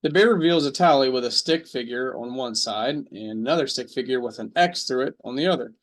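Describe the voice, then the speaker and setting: A man narrating a radio drama. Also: mid-range voice. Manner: measured storytelling.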